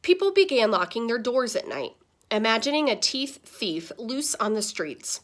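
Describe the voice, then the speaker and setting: A woman reading a children's story aloud in the style of a teacher, with dramatic pauses and stressed words. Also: on the high side, sing-song, fairly bright tone.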